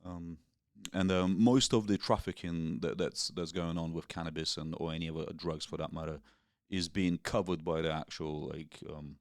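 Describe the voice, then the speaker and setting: A man, Russian-born, talking in a low, deep voice. His speech is loose and peppered with slang and swearing. The sound is close and dry. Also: fairly even intonation, moderate pace, no room sound. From Russia, raised in England. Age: 40s. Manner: laid-back, swears freely, British slang. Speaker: guest. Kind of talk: casual interview chat.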